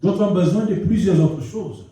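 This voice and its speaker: Man, pastor, has a cold, animated sermon, very dark warm tone, boomy echo. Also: normal speed. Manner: animated preaching with storytelling, rising and falling emphasis. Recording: echoey hall, mic at a distance.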